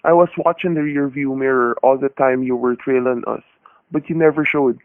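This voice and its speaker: A tinny old radio recording carries a man acting a shrewd off-duty detective. He is steady and wry, with a folksy hard-boiled delivery suited to a noir crime play. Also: medium pitch, moderate pace, no echo, lightly acted. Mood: satisfied, wry. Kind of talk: vintage radio drama acting and noir narration.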